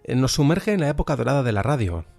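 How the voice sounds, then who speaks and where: A man with a Spain Spanish accent presenting a podcast in an explanatory, measured way. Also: medium pitch, very animated, vocal fry, darkish tone. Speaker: podcast host. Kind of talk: podcast presentation, semi-scripted. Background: music.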